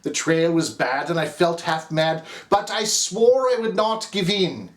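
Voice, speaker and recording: A man's voice: on the high side, measured pace. Theatrical Irish-accented man reciting a poem. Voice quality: rhythmic, theatrical, sweeping delivery. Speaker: narrator as the character Cap.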